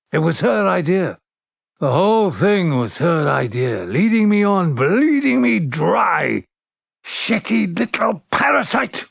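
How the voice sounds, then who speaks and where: A man's voice: fairly high pitch, vocal fry, slightly rough, no room sound, clean studio sound, full character voice. An actor playing a bitter, wistful older man looking back on lost loves in an audio drama's first-person narration. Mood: bitter, scornful.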